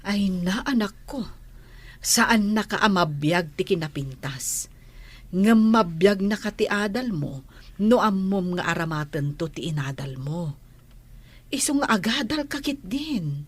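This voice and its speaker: Woman as caring mother, husky, gently advising, lively intonation, radio drama. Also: mid-range voice, measured pace. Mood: gentle advising. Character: caring mother advising her schoolgirl daughter.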